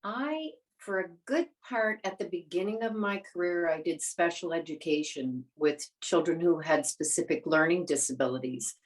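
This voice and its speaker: Older woman with a low-pitched voice. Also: moderate pace, hardly any pauses. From born in the Netherlands. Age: 70s. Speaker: interview guest, retired teacher. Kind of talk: casual conversational storytelling.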